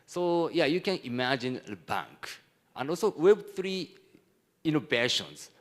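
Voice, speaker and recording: A man from Japan with a Japanese accent gives a conference presentation in halting, non-native English with broken phrasing. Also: on the high side, sing-song. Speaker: conference speaker, hedge fund executive.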